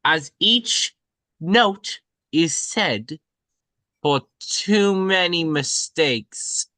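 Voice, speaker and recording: A woman playing a teenager who reads a cryptic riddle aloud in a halting, portentous manner. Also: lowish voice, expressive, fairly bright tone, no echo, heavily acted. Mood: ominous, halting. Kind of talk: dramatic script reading.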